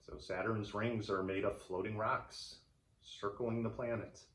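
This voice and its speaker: A man reading a story aloud for children in an animated, theatrical way. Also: lowish voice, measured pace, roomy sound, rough recording. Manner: animated, theatrical delivery for young listeners. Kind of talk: expressive read-aloud storytelling.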